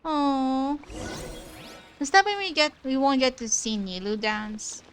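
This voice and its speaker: A woman's voice: sits high, sing-song, slow, fairly bright tone, slight background noise. A woman streamer giving casual live reactions while playing a game. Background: game music.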